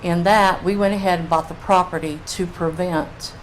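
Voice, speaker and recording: An older woman with a fairly low voice gives public comment as a local resident. She talks informally into a meeting microphone, with faint room noise behind her. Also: fairly even intonation, normal speed, poor audio.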